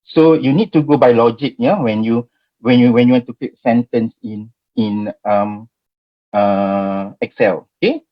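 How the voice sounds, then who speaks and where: A man's voice: mid-range voice, fairly even intonation, unhurried, highs cut off. A man in his forties with a Malaysian accent, teaching a spreadsheet class in a chatty way that keeps checking in with "okay?" and "right?". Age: 40s. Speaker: Excel trainer. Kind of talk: live screen-share spreadsheet tutorial.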